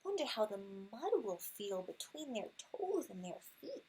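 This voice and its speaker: A woman's voice: mid-range voice, sing-song, a little slow, darkish tone, a little hiss or hum. A woman reading a picture book aloud to young children, acting out sound words expressively and chatting to her listeners. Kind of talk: animated read-aloud with asides to young listeners.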